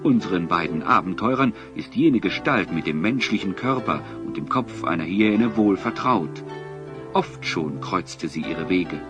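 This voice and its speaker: A deep-voiced fantasy narrator with music behind him. He tells the tale with suspense and wide, theatrical rises and falls. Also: animated, a little slow, muffled recording. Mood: suspenseful. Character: male storyteller narrator of a fantasy adventure. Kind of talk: scripted dramatic narration.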